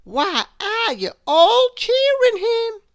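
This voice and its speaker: A woman voicing a mischievous little storybook girl who declaims loudly and with taunting emphasis. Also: extremely high pitch, big pitch swings, slow, dry recording, voice pushed up, exaggerated voice. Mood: puzzled, indignant. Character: mischievous, naughty little cartoon girl troublemaker, loud and taunting.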